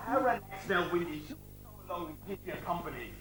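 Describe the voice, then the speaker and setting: Amateur actor doing a cheeky panto joker pal, bouncy pitch, echoey stage. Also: big pitch swings, fast talker, over music, lightly acted. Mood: reassuring, teasing. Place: hall stage. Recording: echoey stage recording, faint noise.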